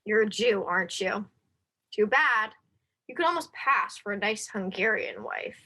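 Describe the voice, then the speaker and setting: A woman's voice: mid-range voice, expressive, measured pace, bright, forceful tone, heavily acted. A woman playing a suspicious, menacing accuser in a wartime stage drama. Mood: menacing, prying. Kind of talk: theatrical dialogue.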